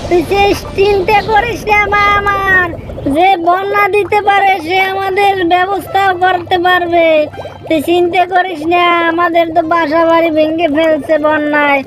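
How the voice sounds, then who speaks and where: A performer voicing a worried, gentle cartoon mother bird with a pleading, soothing manner. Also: very high voice, voice pushed up.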